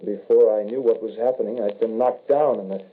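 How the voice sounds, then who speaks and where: An actor playing a grave, regretful young soldier turned werewolf in a halting, somber 1940s radio horror style. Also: male voice, lowish voice, fairly even intonation, natural voice. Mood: haunted, somber. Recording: noisy, tinny old-time radio recording.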